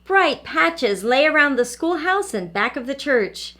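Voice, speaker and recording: Higher-voiced woman reading to children. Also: a little slow. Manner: expressive, asks questions directly to young listeners. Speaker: early learning teacher reading aloud. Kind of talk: children's picture book read-aloud.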